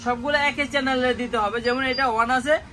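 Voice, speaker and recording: A Bangladeshi man giving a casual, insistent sales pitch as a shop seller, often checking that the listener follows. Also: steady tone, fairly quick, sharp tone. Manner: insistent, repeatedly checks with "okay?". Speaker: toy shop seller presenting products.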